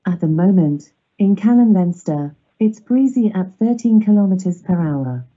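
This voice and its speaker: A synthetic woman's voice reading out as a smart speaker assistant, measured and impersonal. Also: medium pitch, expressive, mellow. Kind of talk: synthetic forecast readout. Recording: dull smart speaker playback.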